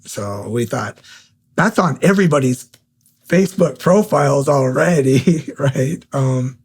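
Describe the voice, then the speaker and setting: A man's voice: mid-range voice, unhurried, breathy edge. A man from Montana, a guest in an interview, giving an emphatic account and repeating key points. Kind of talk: conversational account.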